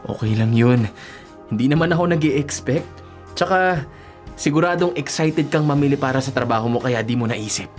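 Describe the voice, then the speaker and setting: An actor playing a devoted, self-sacrificing young working man in a Filipino radio drama, speaking gently and earnestly with a Filipino accent. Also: mid-range voice, moderate pace, soft background music, lightly acted. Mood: gentle, reassuring. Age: young adult. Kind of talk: Tagalog radio drama acting.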